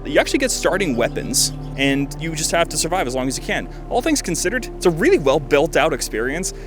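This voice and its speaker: A man's voice: a little high, sing-song, brisk, music in the background. A man giving casual, joking commentary over gameplay, rambling and slangy with a self-deprecating manner. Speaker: video game reviewer and host.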